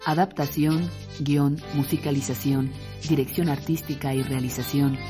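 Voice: mid-range voice, steady tone, normal speed. Formal radio credits announcer over music. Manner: formal, clipped list reading.

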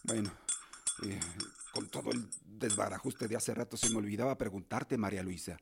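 A man's voice: fairly low pitch, fairly even intonation, normal speed, vocal fry, background noise. A man acting in a radio drama as a stern, prudent uncle and guardian, a colonial-era lawyer with a formal, paternal manner. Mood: probing.

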